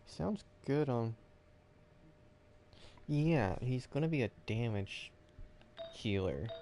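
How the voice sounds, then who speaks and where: Man, mellow tone, vocal fry, casual gamer chatter, muttered thinking aloud. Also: medium pitch, lively intonation, takes it slowly. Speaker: streamer. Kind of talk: casual gaming stream chatter.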